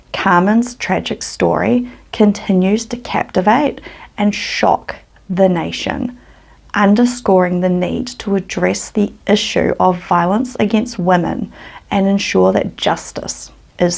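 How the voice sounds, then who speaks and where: A woman's voice: medium pitch, slow, vocal fry, darkish tone. A woman from New Zealand with a New Zealand accent, hosting her true crime channel and telling the story in a casual, earnest way.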